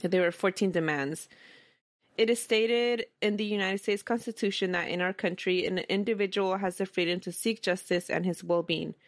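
A woman's voice: medium pitch, steady tone, moderate pace, dry recording. A woman hosting a casual history podcast, telling the story in a conversational way and reading documents aloud. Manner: conversational, reads quoted documents aloud, chuckles often. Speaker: podcast host.

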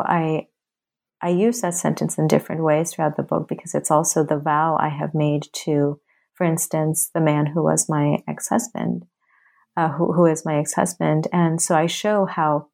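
Woman, from Bangladesh, author being interviewed, very low voice, earnest parallel phrasing. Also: creaky, a little mellow. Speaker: author guest. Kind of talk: reflective interview answers.

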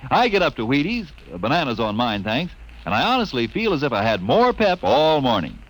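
Very animated folksy radio pitchman. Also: a little fast, rough recording. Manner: folksy, upbeat. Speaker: radio advertisement announcer. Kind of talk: old-time radio ad pitch, folksy and chatty.

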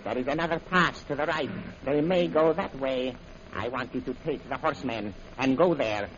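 An actor playing a ruthless, commanding bandit chief in an old radio adventure serial, with a menacing, deliberate delivery. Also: male voice, mid-range voice, fairly bright tone, lightly acted. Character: ruthless, gloating male bandit chief plotting murder. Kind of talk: 1930s radio serial acting. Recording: muffled, noisy vintage radio recording.

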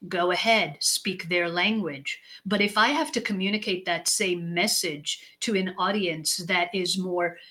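A woman guest expert giving measured interview answers, stacking her points in lists and letting sentence ends fall soft. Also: lowish voice, fairly even intonation. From United States. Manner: deliberate, stacks phrases in lists, trails off softly at ends. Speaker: guest expert on leadership and communication.

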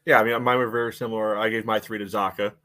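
An American man co-hosting a sports podcast, chatting casually in a measured, halting way with dry humour. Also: on the low side, little pitch movement, bright, forceful tone. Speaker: podcast co-host. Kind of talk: casual sports analysis chat.

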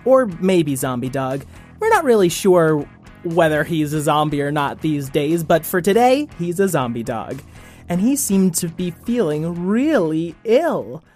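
A woman's voice: low voice, big pitch swings, unhurried, a little mellow, soft background music. A woman narrating a kids' audio drama as a storyteller.

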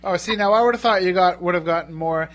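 A man's voice: sits high, a bit bright. A man hosting a radio talk show, bantering casually and cracking jokes. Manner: joking, quick banter.

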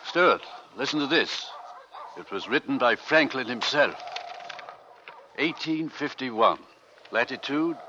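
An actor playing a stern, steady captain of an icebound nineteenth-century ship, speaking with measured command in a radio play. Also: male voice, mid-range voice. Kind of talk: old-time radio drama acting. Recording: muffled, noisy vintage radio recording.

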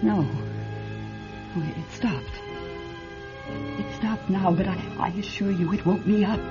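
An actress playing an anxious, sleepless wealthy widow in a radio drama, fretful and halting, often repeating her words. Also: mid-range voice, close to an everyday voice. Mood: frightened, pleading. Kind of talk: old-style radio drama acting. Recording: dull, husky-sounding radio recording with music.

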